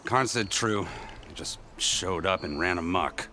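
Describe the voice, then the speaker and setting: A man's voice: low-pitched, a little slow, sharp tone, a little hiss or hum. A man playing a chatty, good-natured rustic tinkerer in a video game, rambling and mildly grumbling in a folksy way. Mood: chatty, amiable.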